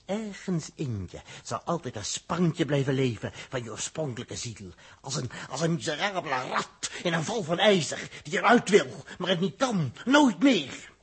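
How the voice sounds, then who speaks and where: An actor playing a defiant astronaut in a 1960s radio drama, urgent and bossy, prone to angry outbursts. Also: male voice, medium pitch, a bit bright, slightly rough, exaggerated voice. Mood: grave. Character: defiant male astronaut, Dirk.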